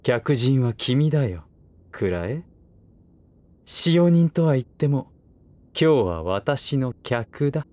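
A man's voice: mid-range voice, very animated, takes it slowly, long pauses, darkish tone, dry recording. An actor playing a smug, condescending aristocratic businessman in a Japanese voice drama, with languid, lordly, teasing phrasing. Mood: amused, insistent.

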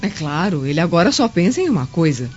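A woman's voice: lowish voice, big pitch swings, fast talker, darkish tone. An actress playing a prying, insinuating Roman noblewoman in a radio drama. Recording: dull audio, music behind.

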